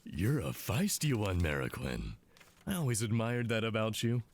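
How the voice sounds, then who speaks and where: An actor playing a smug, condescending intelligence commander in a scripted drama, talking down to people in a mocking way. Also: male voice, lowish voice, sing-song, normal speed, noisy background. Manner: condescending, mocking, drawling asides.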